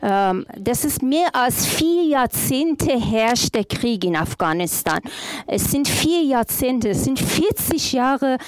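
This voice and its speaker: A woman with a fairly high voice works as an interpreter at a panel talk. She renders speech in the first person, feeling her way through sentences and often repeating or recasting a phrase. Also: animated, slow, no echo.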